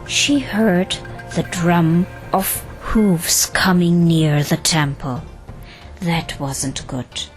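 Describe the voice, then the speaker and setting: A woman's voice: lowish voice, drawn-out words. A woman narrating a scripted audio drama as a storyteller. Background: music.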